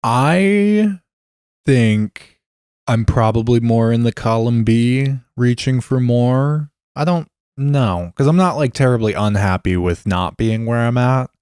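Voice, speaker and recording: A man's voice: mid-range voice, lively intonation, slow pace, darkish tone, clear, resonant tone, no room sound, studio quality. A man co-hosting a podcast in casual chat, joking and offhand, swearing casually. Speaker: podcast co-host.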